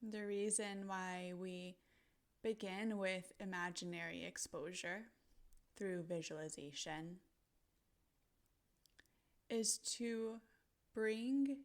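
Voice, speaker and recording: A woman narrating a guided visualization in a gentle, soothing manner, pausing deliberately between short phrases. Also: mid-range voice.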